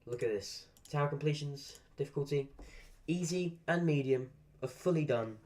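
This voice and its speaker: A man's voice: on the high side, moderate pace, darkish tone. A man chatting casually while live-streaming a game, rambling through asides and swearing at the game now and then. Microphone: desk microphone.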